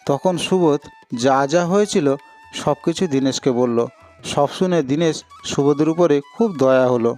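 High-pitched male cartoon story narrator. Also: normal speed, darkish tone, faint music behind.

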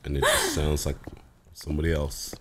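A man in his twenties with a raspy, sick voice, chatting casually while gaming with a headset. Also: huge pitch swings, normal speed, heavy background noise. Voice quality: deep. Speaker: co-host of a Minecraft series. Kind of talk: casual gameplay chat. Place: home room, indoors.

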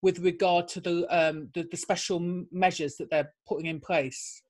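A woman's voice: on the low side, fairly even intonation, moderate pace, a little mellow. A middle-aged woman, interviewing casually from a bedroom on a video call. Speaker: interviewer, host. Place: indoors, bedroom at home.

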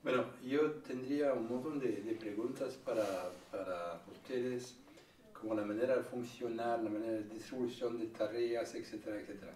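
A man's voice: medium pitch, fairly even intonation, moderate pace, a little mellow, a little hiss or hum. Distant, roomy interviewer man. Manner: hesitant, trailing questions. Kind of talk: interview questions.

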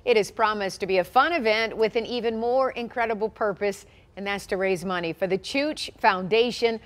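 A woman anchoring from a TV news studio, reading a scripted introduction with smooth, polished delivery. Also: mid-range voice, moderate pace.

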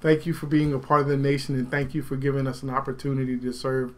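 A man's voice: medium pitch, monotone, fast, a little mellow. A young man chatting casually in a reaction video. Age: young adult. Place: small bedroom, indoors.

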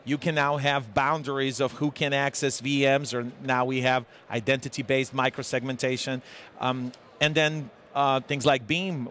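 Serbian-accented man, halting and filler-heavy. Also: mid-range voice, sharp tone, a little hiss or hum. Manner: halting, many fillers and restarts. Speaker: tech executive guest. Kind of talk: live trade-show interview. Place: busy conference hall. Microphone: headset mic. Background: crowd chatter.

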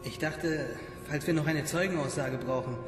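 A man's voice: a little high, normal speed, music in the background, natural voice. An actor playing an earnest, insistent young male investigator in a radio drama, reasoning things out. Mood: protective, firm.

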